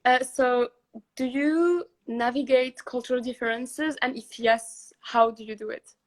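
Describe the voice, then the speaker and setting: A woman hosting a podcast, asking long, hesitant questions in a relaxed chat. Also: high voice, unhurried. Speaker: podcast host. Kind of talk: casual interview questions.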